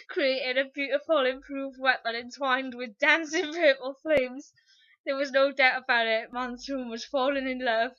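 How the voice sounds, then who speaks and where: A woman's voice: sits high, sharp tone, lo-fi sound. A woman narrating fan fiction as a comic, theatrical dramatic reading.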